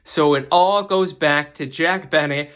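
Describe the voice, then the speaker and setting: Bright, higher-voiced man, podcast host. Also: unhurried, smooth. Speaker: podcast host giving a guest segment. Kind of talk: casual enthusiastic commentary.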